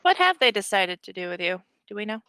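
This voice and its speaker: A woman's voice: medium pitch, big pitch swings, fast talker, bright, forceful tone, clear, resonant tone. A woman playing an online tabletop game, chatting casually with the other players.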